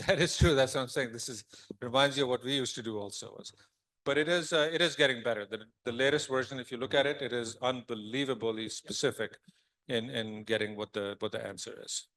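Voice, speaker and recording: A man's voice: medium pitch, brisk, a bit bright, rough recording. A man answering audience questions after a conference talk, thoughtful, with frequent restarts. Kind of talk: Q&A discussion.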